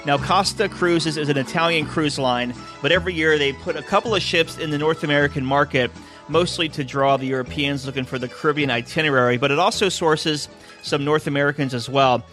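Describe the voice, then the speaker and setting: Chatty radio host with faint music. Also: male voice, a little high, a little fast, a bit bright. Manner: chatty, upbeat, with fillers. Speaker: cruise radio show host. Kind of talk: casual talk radio and interview.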